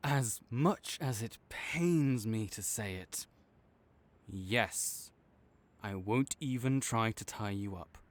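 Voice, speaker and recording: Man playing a slow-talking, grudgingly caring supervillain. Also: lowish voice, expressive. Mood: reluctant, gruff. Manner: halting, exasperated, teasing reluctance. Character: gruff tsundere male supervillain, grudgingly caring rival. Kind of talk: intimate audio roleplay addressed to the listener.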